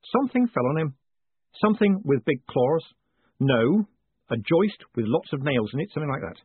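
Male reader playing a brisk, clipped rogue, husky, phone-tinny. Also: on the high side, big pitch swings, no room sound, pitched above the performer's own voice. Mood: urgent. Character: quick-witted man, brisk, evasive former con man. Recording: dry, tinny phone-like sound.

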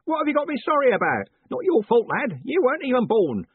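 A man voicing a loud, blunt old male merchant who bellows and calls people "lad". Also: huge pitch swings, quick pace, slightly rough, no room sound, exaggerated voice. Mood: hearty. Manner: booming, hearty, uses "lad" and "young man". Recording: dry, tinny phone-like audio.

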